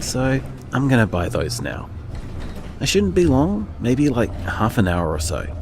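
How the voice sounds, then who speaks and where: A man's voice: fairly low pitch, noisy background. A man playing a kind-hearted, affectionate bar owner, rambling casually and earnestly as if leaving a voicemail in an audio drama.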